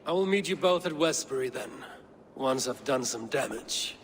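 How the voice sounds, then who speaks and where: An actor playing a resolute, level-headed Viking raider in a video game, speaking firmly and with measure. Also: male voice, mid-range voice, expressive, slight background noise, natural voice. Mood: determined. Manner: firm, measured. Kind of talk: dramatic video game acting.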